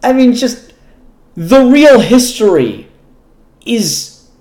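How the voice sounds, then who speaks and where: A man hosting a casual, unscripted review show, talking in a halting way with pauses mid-sentence and casual swearing. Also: very high-pitched, big pitch swings, darkish tone. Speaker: comic book reviewer and show host. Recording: roomy, echoey room sound.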